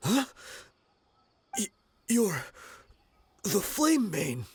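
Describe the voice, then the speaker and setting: An actor playing a smug, defiant male mercenary in a video game, with a husky, rough voice. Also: high-pitched, huge pitch swings, drawn-out words, no echo, heavily acted. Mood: startled.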